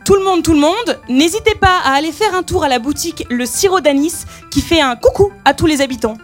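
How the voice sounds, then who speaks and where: A woman's voice: high voice, expressive, a little fast, soft background music. A woman co-presenting a mock radio news bulletin with upbeat announcer delivery.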